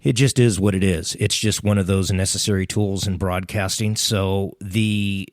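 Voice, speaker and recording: A middle-aged man hosting a casual solo podcast, talking in a relaxed, rambling way with lots of ums, close to a studio mic. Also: sits low, normal speed, no room sound. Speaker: podcast host.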